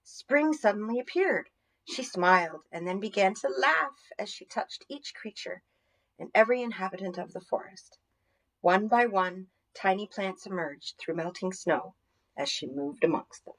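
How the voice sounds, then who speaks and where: A woman reads a story aloud for young children. Her voice sits at a middle pitch, and her delivery is deliberate and expressive, as a storyteller's. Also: poor audio. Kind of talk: children's story reading, scripted narration.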